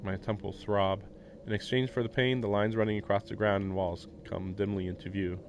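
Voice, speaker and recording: A man's voice: fairly low pitch, flat delivery, a little fast, muffled recording, slight background noise. A man streaming a visual novel, reading the text aloud and adding casual commentary. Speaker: game streamer. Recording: game music behind the voice.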